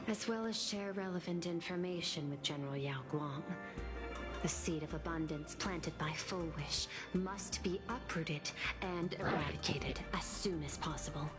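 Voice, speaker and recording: A woman playing a composed, formal administrator in a video game, speaking in a measured, analytical way. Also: lowish voice, over loud music, lightly acted. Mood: composed, resolute. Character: composed, formal female administrator of a threatened homeworld. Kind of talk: scripted video game dialogue.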